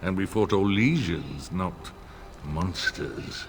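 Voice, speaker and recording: Polite old lord ally, deep voice, measured and formal. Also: male voice, expressive, background noise. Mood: courteous. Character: older male noble ally, courteous and formal.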